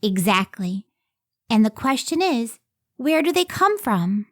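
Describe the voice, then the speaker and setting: Radio play heroine: woman acting a devoted young female scientist, slow-spoken, slightly high voice. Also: sing-song. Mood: intent curiosity. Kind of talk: old-time radio drama acting.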